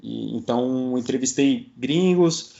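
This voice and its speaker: A man's voice: mid-range voice, slow pace, vocal fry, mellow, lo-fi sound. A man with a Brazilian accent talking casually as an interview guest. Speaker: guest, podcaster. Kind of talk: casual chat.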